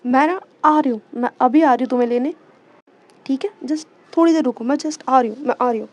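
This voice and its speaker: A woman voicing a caring best friend who reassures and urges her friend to stay calm. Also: high voice, brisk, dull audio. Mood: reassuring. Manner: warm and urgent, reassuring.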